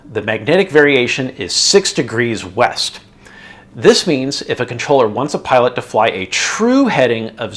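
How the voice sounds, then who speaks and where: A man's voice: medium pitch, fairly bright tone. A man delivering scripted, methodical instructional narration, leaning on transition words as he explains step by step. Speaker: instructor, video host.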